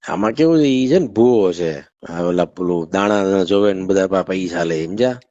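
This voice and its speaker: A man's voice: low-pitched, normal speed, dark tone, no room sound. Blunt Gujarati man on a muffled call. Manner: blunt, colloquial. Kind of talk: casual private phone chat. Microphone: phone call. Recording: dull lo-fi phone call recording.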